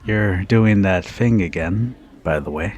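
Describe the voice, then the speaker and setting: A man playing a confident, protective bartender in an ASMR roleplay, teasing and flirty in an intimate, unhurried manner. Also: low voice, muffled recording. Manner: teasing, unhurried, with soft chuckles and pauses. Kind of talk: ASMR roleplay, intimate second-person monologue.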